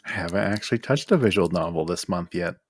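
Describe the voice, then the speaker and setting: Low-voiced man chatting casually. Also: lively intonation, a little fast, breathy edge. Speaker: podcast co-host. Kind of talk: casual chat.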